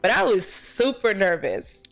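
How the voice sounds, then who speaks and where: A woman's voice: medium pitch, big pitch swings, a little slow, vocal fry, faint music behind. Candid woman chatting as an interview guest. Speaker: interview guest, actor and filmmaker. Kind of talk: casual conversational interview.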